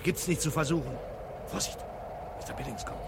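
Man acting a gravelly, sullen prisoner. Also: on the high side, brisk, noisy background, natural voice. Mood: guarded. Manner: curt, guarded. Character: sullen, wry male prisoner in handcuffs. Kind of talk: German radio crime drama acting.

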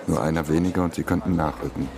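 An actor playing an earnest, probing journalist in a radio crime drama. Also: male voice, a bass voice, steady tone, fast talker, vocal fry. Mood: apologetic, regretful. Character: earnest young-looking male journalist, probing, then apologetic. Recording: crowd murmur behind the voice.

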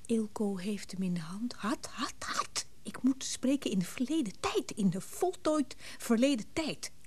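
An actress playing a distraught, guilt-ridden woman in grief in a radio drama, halting and repeating words in a breathy, often whispering voice. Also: a little high, animated. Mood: bitter, dazed.

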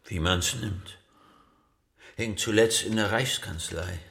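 An actor playing a hesitant, awestruck museum curator in a radio drama, halting and repeating himself. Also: male voice, lowish voice, gravelly, a bit bright, full character voice. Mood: reserved, musing. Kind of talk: naturalistic radio drama dialogue.

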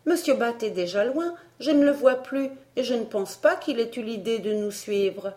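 Woman as an earnest, high-voiced young girl. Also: lively intonation, moderate pace, darkish tone, close to an everyday voice. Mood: reasoning. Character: curious, sensible young girl, gently reasoning.

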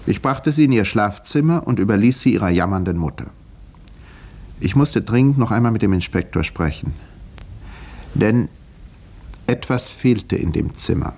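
An actor playing a measured, wry village doctor who narrates a murder mystery in a 1950s radio play. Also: male voice, fairly low pitch, expressive, creaky, a little mellow. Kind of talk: scripted first-person narration, 1950s radio acting.

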